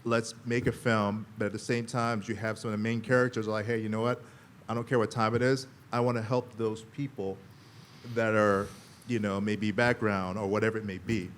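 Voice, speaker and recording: Deep-voiced man rambling on a panel. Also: little pitch movement, a little fast, a little hiss or hum. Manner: rambling, quotes himself. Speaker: actor and panel guest. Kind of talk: casual panel talk. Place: convention panel room.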